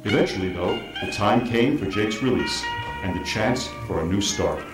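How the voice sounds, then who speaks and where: Guitar music plays behind an echoey male narrator. He reads scripted narration in a lowish voice. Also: moderate pace.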